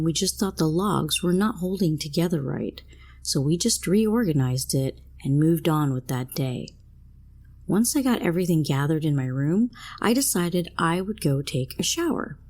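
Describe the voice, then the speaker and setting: A woman with a deep, husky voice narrates a creepy tale in the first person. Her delivery is that of a storyteller reading a prepared script. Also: darkish tone. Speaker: narrator of listener-submitted scary stories.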